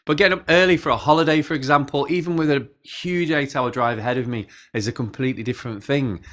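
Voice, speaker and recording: A man's voice: medium pitch, fairly bright tone. A man in his thirties preaching an all-age sermon in an engaging, direct way, putting questions to his listeners. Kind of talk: all-age sermon, addressing kids and adults. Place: small plain indoor room.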